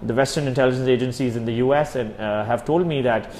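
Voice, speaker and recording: A man in his thirties recounts his experience in a reverberant room with faint ambient sound behind him. His language is Urdu. His pitch is of middling height, and he speaks hesitantly, filling pauses and restarting phrases. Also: darkish tone, a little hiss or hum. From Pakistan. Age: 30s. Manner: hesitant, many fillers and restarts. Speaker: exiled journalist. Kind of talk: personal testimony interview.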